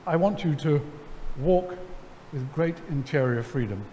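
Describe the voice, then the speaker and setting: A man's voice: a little high, a little slow. Middle-aged man, wry storyteller, headset mic, long church reverb, faint room hum. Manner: animated, gesturing, wry, many ums and restarts. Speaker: lecture guest. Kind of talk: storytelling in an on-stage conversation. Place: large church sanctuary before an audience. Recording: hall reverb, faint ambience.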